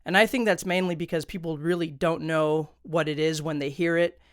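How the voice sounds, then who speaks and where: Man, tutorial host, informal explainer, very clear smooth voice, conversational, dry close-miked sound. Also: a little high, steady tone, a little fast, dry recording. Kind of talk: casual instructional explainer. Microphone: close studio condenser microphone.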